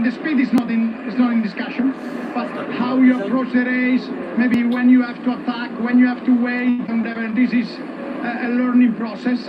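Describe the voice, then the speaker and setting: A man answering haltingly in a live interview as a racing team manager. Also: very high-pitched. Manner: halting, hesitant phrasing. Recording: dull sound, trackside noise.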